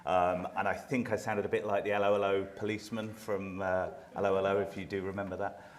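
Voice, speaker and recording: A man's voice: deep voice, level tone, brisk, a little hiss or hum. A man speaking as a conference panelist, telling a self-deprecating story with hesitant fillers.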